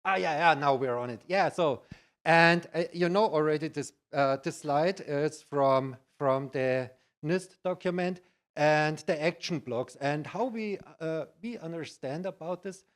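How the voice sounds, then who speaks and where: A middle-aged or older man with a Bavarian German accent, giving a conference presentation in halting, non-native English. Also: a little high. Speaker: conference speaker, IT practitioner.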